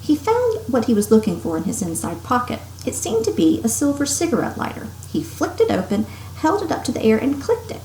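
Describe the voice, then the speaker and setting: A woman's voice: a little high, huge pitch swings, fairly quick, few pauses, warm tone. A woman teacher reading a story aloud to children expressively and emphatically, with casual asides. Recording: roomy echo, faint background noise.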